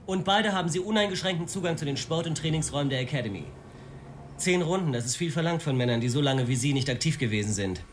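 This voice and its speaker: A male actor playing a brisk, scheming senior police official with a pompous, persuasive delivery in a radio play. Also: on the high side, animated, a little hiss or hum, natural voice. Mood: businesslike, expectant. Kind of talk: German radio play dialogue.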